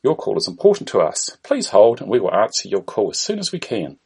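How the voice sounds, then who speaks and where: Man doing a polite automated phone menu, swooping pitch. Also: huge pitch swings, brisk, vocal fry, darkish tone, slightly rough, full character voice. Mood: bland, polite. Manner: scripted, polite recorded-announcement delivery.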